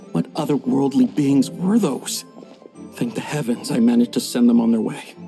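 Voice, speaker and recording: Stammering nervous shopkeeper. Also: male voice, mid-range voice, measured pace, gravelly, music in the background. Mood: flustered. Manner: stammering, nervous filler-laden speech. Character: flustered, evasive male tea shopkeeper. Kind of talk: video game voice acting.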